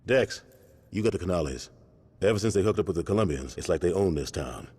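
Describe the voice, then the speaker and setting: Game gang leader. Commanding, calculating, deep rasping voice, slangy, heavy hall reverb. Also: male voice, expressive, fast, darkish tone, full character voice. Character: stern, calculating male street gang leader. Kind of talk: video game cutscene acting.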